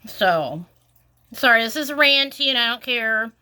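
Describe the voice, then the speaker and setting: Bright-voiced woman ranting with lots of fillers. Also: a little high, expressive, a little slow. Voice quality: sniffly. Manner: rambling, exasperated, lots of "like" fillers. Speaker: vlogger. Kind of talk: ranting casual vlog.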